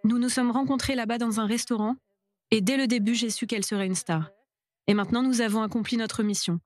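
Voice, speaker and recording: A woman's voice: fairly high pitch, fairly even intonation, fairly quick, a little mellow, dry recording. A woman doctor speaking in an interview voice-over, recalling a past meeting in a reminiscing way.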